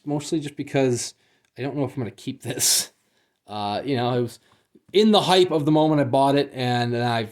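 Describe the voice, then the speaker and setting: A man's voice: mid-range voice, darkish tone. Man casually reviewing, understated delivery. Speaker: book unboxing reviewer. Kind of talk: casual unscripted product review.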